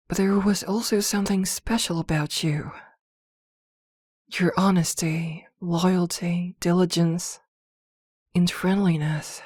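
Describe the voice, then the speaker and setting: A woman playing a possessive, protective witch girlfriend in an intimate ASMR roleplay, speaking softly and soothingly with hesitant pauses. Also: lowish voice, no echo, clean studio sound. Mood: fond, reminiscing.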